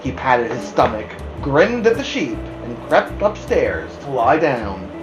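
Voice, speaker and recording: A woman narrating a children's story aloud as a host, in an expressive storytelling style. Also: rumbling low, measured pace, over music. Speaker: storybook reader, podcast host. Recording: roomy, echoing room sound.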